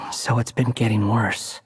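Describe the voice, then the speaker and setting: Aloof young scholar, reverberant voice. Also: male voice, mid-range voice, very noisy. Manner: haughty, dry, teasing. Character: aloof, sardonic young male wandering scholar. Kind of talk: video game voice acting.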